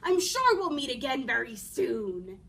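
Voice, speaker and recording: A woman voicing a sly, hungry male wolf in a storybook, falsely polite with drawn-out, oily phrasing. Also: fairly high pitch, very animated, sharp tone, recorded from a distance, pitched below the performer's own voice, full character voice. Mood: sly, coaxing. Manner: drawn-out, oily, sometimes whispering.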